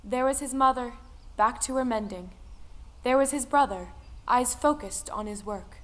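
High-voiced woman narrating a folktale. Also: moderate pace. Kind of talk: scripted folktale narration in an audio drama. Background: music.

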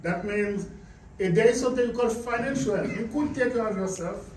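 A man's voice: sits high, a little fast, darkish tone, a little hiss or hum. Man from Haiti giving a business seminar. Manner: emphatic, asks the audience questions. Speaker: seminar speaker. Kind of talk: motivational business presentation. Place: carpeted indoor meeting room. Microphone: far camera, no visible mic. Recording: echoey room, camera far off.

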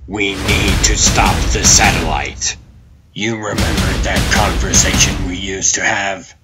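A man voicing a grim, resolute masked vigilante hero in a comic dub, grave and deliberate. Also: low voice, fairly even intonation. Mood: urgent. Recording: loud music behind the voice.

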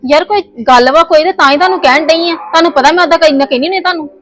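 A woman's voice: fairly high pitch, normal speed, soft background music, natural voice. A woman playing a stubborn young wife in a family skit, scolding and insistent. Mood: insistent, heated. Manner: scolding, insistent, voice rising in argument. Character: young married woman, stubborn, quick to argue. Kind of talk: scripted family comedy skit.

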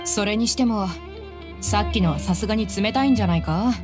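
A woman's voice: medium pitch, brisk, music in the background, lightly acted. Actress as a bold, tomboyish woman mercenary. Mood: teasing, curious. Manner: tomboyish, blunt, familiar. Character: bold, easygoing adult female mercenary. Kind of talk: video game voice acting.

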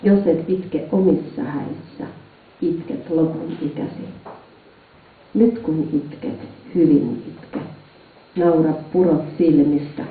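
A man reciting folk-style incantation verse in short, measured, chant-like phrases. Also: a little high, fairly even intonation, slight background noise. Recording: very echoey, distant, dull phone-like sound.

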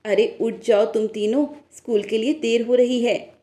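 A woman's voice: a little high, steady tone, moderate pace, dark tone, natural voice. A woman voicing a caring, encouraging village mother of three daughters in a cartoon story.

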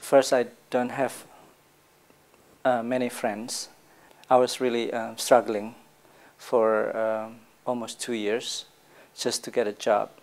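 Man, 30s, monotone, fry in the voice, hesitant interview. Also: mid-range voice, slow pace, pauses often. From Indonesia. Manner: hesitant, many fillers. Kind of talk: casual interview answers.

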